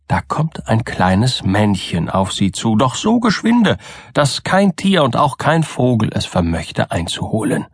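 Slow, animated male fairy tale narrator. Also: mid-range voice, slightly rough, no echo, studio quality. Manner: dramatic, deliberate storytelling. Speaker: audiobook narrator.

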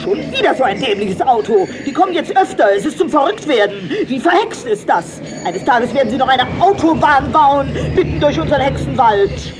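An ancient, crotchety witch from a children's audio drama. Her voice is fairly high and leaps about theatrically as she scolds. She is grumpy but caring, with some crowd-like sound behind her. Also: very animated, moderate pace, hardly any pauses, background noise. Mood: grumbling. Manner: theatrical, scolding, swooping exclamations. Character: grumpy but caring 537-year-old witch, female. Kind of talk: children's audio play acting.

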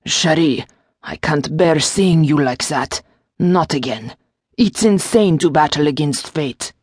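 A small, wry gargoyle demon played by a woman narrator speaks in a lilting French accent. The voice is low and husky, dry and close, with courtly, fretful phrasing fit for a fantasy audiobook. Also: lively intonation, takes it slowly, darkish tone, dry recording, full character voice. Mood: anxious, pleading. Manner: formal, courtly phrasing with endearments.

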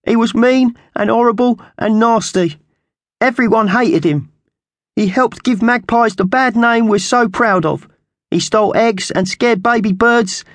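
A scrawny magpie henchman from a children's storybook. The voice is pitched very high and squawky. He talks like a nasty but sentimental underling, full of mock solemnity. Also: unhurried, fairly bright tone, no echo.

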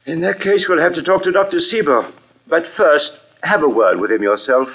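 An actor playing a concerned, tactful surgeon in an old radio drama, speaking formally and carefully. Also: male voice, on the high side, moderate pace, husky. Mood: grave, tactful. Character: concerned hospital surgeon, discreet and tactful colleague. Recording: dull vintage radio recording.

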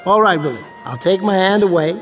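High-voiced, uneasy American ventriloquist. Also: male voice, expressive, fairly quick, fairly bright tone, faint music behind. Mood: bantering. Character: uneasy male nightclub ventriloquist, weary straight man to his dummy. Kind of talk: radio drama acting.

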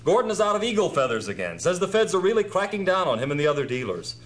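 A man's voice: sits high, big pitch swings, fast talker. A man playing a harried, sarcastic theme park manager in an audio drama, talking with exasperated emphasis. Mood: exasperated sarcasm.

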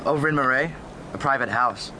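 A man's voice: mid-range voice, lightly acted. Man playing a hesitant American teenage boy. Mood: shy, evasive. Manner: halting, deferential. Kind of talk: radio drama dialogue. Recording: outdoor street ambience with vehicle noise.

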